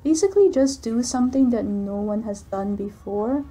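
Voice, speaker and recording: Young woman, soft voice, breathy and husky, casual video voiceover, built-in computer mic. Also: on the high side, moderate pace. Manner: soft-spoken, relaxed, with frequent ums and likes. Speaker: gaming channel creator. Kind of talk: casual voiceover answering viewer questions. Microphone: computer's built-in microphone.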